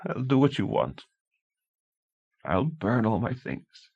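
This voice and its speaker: A man reading an audiobook, voicing a frantic young wife who secretly practices witchcraft, shrill as she pleads and accuses. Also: big pitch swings, takes it slowly, vocal fry, darkish tone, breathy edge, lo-fi sound, exaggerated voice. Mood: defeated.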